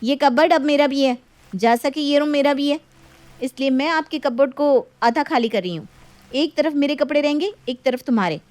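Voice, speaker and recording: A woman's voice: high voice, brisk, darkish tone, dry recording, close to an everyday voice. A woman voicing a sensible, independent young wife who talks in a firm, scolding, assertive way in a story.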